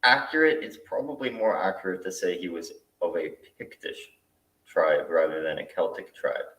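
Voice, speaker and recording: A male host chatting casually in a relaxed, thoughtful way, with plenty of fillers. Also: low-pitched, normal speed, distant mic. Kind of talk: casual video call chat. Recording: echoey room, away from mic.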